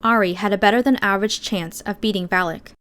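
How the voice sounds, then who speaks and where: A woman's voice: medium pitch, fast talker, fairly bright tone, smooth. A woman reading scripted first-person fiction as an audiobook narrator.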